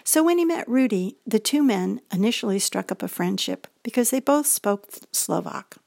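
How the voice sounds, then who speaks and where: Older American woman, reflective memoir narrator, a little gravelly, dry close mic. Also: fairly high pitch, lively intonation, normal speed, no room sound. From United States. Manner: gentle, reflective storytelling. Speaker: feature presenter. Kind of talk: scripted personal narration.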